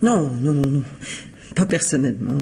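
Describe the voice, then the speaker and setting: Worried insistent wife in a radio mystery, expressive swooping voice, bit of background hiss. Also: female voice, very deep voice, moderate pace, vocal fry, big-room sound, heavily acted. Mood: tender, hesitant. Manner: probing, firm, intimate. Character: insistent, concerned wife of a stern father. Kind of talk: French radio mystery drama acting.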